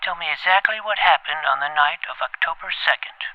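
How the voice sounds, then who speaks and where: A man playing a pompous, precocious twelve-year-old boy detective in an audio drama, formal and wordy, with a creaky voice. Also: a little high, brisk, no echo, lo-fi sound. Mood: businesslike. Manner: formal, verbose, clipped interrogator's delivery. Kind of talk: scripted audio drama acting.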